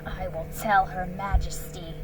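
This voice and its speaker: Menacing male wolf sentry, curt orders, unhurried , read by a woman. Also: lowish voice, flat delivery, soft background music, natural voice, rough recording. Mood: stern. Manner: commanding, stern.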